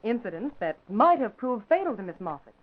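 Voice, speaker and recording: Businesslike newspaperwoman, fairly high lively voice, earnest, formal, radio play, tinny vintage recording. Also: brisk. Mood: grave. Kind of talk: old-time radio drama acting.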